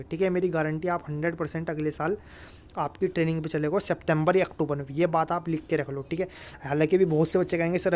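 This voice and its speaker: A man's voice: high-pitched, darkish tone. A man talking informally to students as a video host, repeating points emphatically and adding frequent check-in tags. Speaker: exam guidance video host. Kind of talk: informal advice talk to students.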